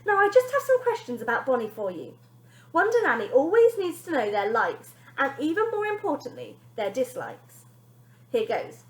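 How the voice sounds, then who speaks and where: A woman voicing a gushing, excitable male television producer in a children's story. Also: high voice, normal speed. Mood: excited. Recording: echoey room.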